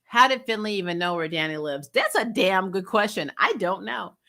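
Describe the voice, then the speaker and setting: A woman with a bright, forward voice talks casually as a show host. She is frank and salty, telling things in an animated, conversational way at a middle pitch. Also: normal speed. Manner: animated storytelling, laughs often, frank and salty. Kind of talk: casual chatty episode review, reacting to live comments.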